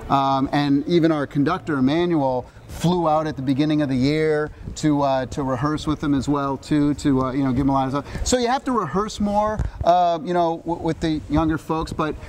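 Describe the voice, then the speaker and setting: A middle-aged man giving an energetic, jokey interview at a rehearsal, acting out quotes along the way. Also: a little high, moderate pace, hardly any pauses, slight background noise. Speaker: show creator. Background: orchestra rehearsal.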